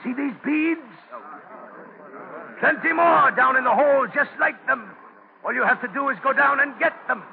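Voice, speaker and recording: An actor playing a scheming, greedy English sea captain with a gravelly voice, in 1940s radio style. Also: male voice, extremely high pitch, measured pace, long pauses, exaggerated voice. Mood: coaxing. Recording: tinny, hissy 1940s radio recording.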